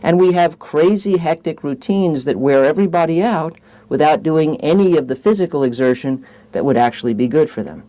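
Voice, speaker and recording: A man's voice: on the high side, dark tone. A man giving an articulate public talk in a wry, wordy manner.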